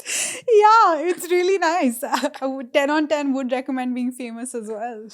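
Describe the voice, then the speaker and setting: A young woman from India, co-hosting a podcast and telling travel stories in a lively, chatty way. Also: high voice, a little slow. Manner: animated storytelling with frequent laughs and quoted voices. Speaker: podcast co-host. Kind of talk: casual chat.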